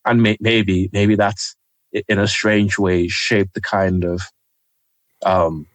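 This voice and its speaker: A young man from Nigeria, a journalist guest, talking reflectively in an interview with frequent pauses and ums. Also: on the low side, level tone, no echo. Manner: hesitant, many ums, soft-spoken.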